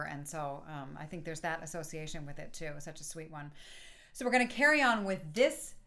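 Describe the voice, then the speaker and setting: A woman's voice: sits low, fairly bright tone. A woman leading an online tune-playing session, chatting in a friendly, explanatory way with frequent ums. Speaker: session leader and music teacher. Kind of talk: casual online session chat.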